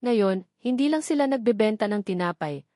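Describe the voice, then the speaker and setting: A woman's voice: medium pitch, expressive, moderate pace, warm tone, no room sound, studio quality. A woman reads a scripted romantic story as a dramatic narrator.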